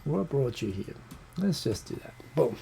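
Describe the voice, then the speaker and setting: Man, New Zealand accent, dark mellow tone, rambling self-deprecating chat, close handheld mic. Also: medium pitch, very animated, vocal fry, breathy edge. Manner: rambling, self-deprecating, talks fast with restarts. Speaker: livestream host. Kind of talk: casual solo live chat. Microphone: handheld USB recorder mic close. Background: computer fan hum.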